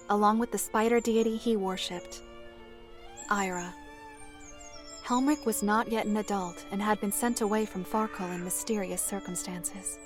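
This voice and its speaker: A woman's voice: mid-range voice, close to an everyday voice. A reserved woman storyteller from a fantasy audio drama, played by an actress. She chooses her words with formal precision and keeps a composed, dryly stern tone. Music plays behind her voice. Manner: measured, formal, precise phrasing. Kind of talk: fantasy visual novel audio drama acting.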